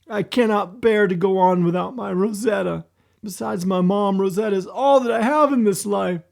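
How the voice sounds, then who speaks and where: A man voicing a hot-tempered boy who yells and sobs over his lost dog. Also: high-pitched, normal speed, vocal fry, pitched above the performer's own voice. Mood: angry grief. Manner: yelling, sobbing outbursts. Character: hot-tempered grieving boy, loud and shrill.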